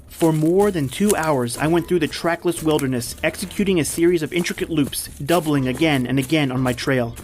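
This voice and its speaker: An actor playing a tense, determined hunted man, narrating his ordeal gravely and deliberately as in an old-time radio drama. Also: mid-range voice, close to an everyday voice. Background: background music.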